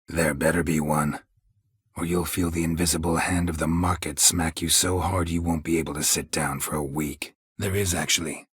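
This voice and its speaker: An actor playing a stoic, world-weary monster hunter in a fantasy video game, gruff and gravelly, with a dry, deadpan, clipped delivery. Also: male voice, no echo, exaggerated voice. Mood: flat, observant. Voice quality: gravelly, husky low voice.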